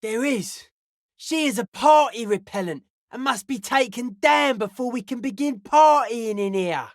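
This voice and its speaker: A man's voice: very high-pitched, expressive, unhurried, no room sound, studio quality, full character voice. An actor voicing an intense young male dance-music zealot in a video game, with a clipped, blunt, philosophizing delivery full of slang. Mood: dogmatic, dismissive. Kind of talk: video game character voice acting.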